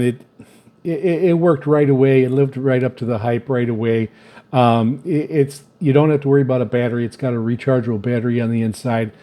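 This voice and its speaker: A man casually reviewing radio gear on his channel, speaking off the cuff in a halting, repetitive way with frequent stammers and filled pauses. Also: medium pitch, mellow. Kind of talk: casual unscripted product review.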